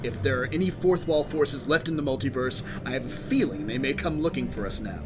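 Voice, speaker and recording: A man's voice: medium pitch, brisk, over music, lightly acted. An actor playing a sinister, smug villain boss who commands his henchmen with dry sarcasm in an audio drama. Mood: cold, commanding. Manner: smug, dryly sarcastic, menacing.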